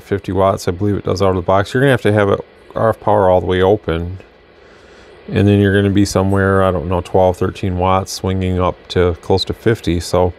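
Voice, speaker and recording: An American man with a low-pitched voice talks through a product demo. His delivery is casual and conversational, wandering from point to point as a hobby reviewer would. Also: a little mellow. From Michigan, United States. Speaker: radio reviewer.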